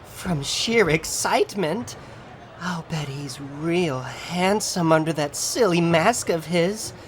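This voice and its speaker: A woman's voice: lowish voice, expressive, takes it slowly, a little hiss or hum. A woman playing a dreamy, teasing teenage girl in a comic book dramatic reading. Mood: swooning.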